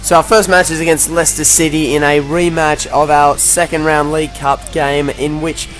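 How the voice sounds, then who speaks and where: This is a man with a croaky, worn-out voice that sits a little high. He recaps a video game football match in a relaxed play-by-play manner. Faint music plays underneath him. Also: moderate pace, poor audio. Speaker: gaming commentator.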